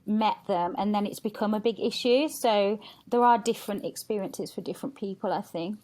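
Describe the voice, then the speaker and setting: A woman's voice: medium pitch, moderate pace, a little mellow. A woman talking candidly and casually as a podcast guest, chatty and acting out quotes as she tells stories. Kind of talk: candid casual interview chat.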